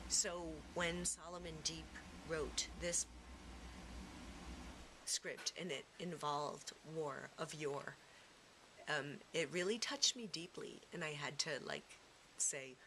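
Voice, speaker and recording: A middle-aged woman giving a reflective, personal interview, speaking haltingly as she searches for her words. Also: low voice. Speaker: theatre performer being interviewed. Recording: clearly noisy room recording.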